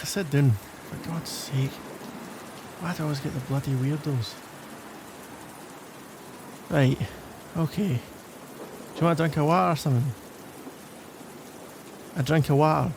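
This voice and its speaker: A man's voice: on the high side, gravelly, darkish tone, a little hiss or hum. A man playing a grudgingly caring, sarcastic young Glaswegian in an exasperated, teasing ASMR roleplay monologue. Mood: irritated. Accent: Glaswegian Scottish.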